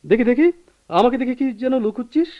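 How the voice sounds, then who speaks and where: An actor playing a playful, teasing young husband and friend with theatrical delivery in a radio play. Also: male voice, extremely high pitch, lively intonation, brisk. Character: jovial, teasing young friend, married and expansive. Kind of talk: Bengali radio play acting. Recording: muffled old radio recording.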